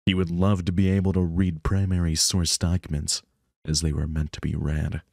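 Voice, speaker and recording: A man's voice: sits low, level tone, moderate pace, creaky, a little mellow, no room sound, clean studio sound. A man narrating a visual novel aloud as scripted story reading. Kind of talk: scripted narration with offhand asides.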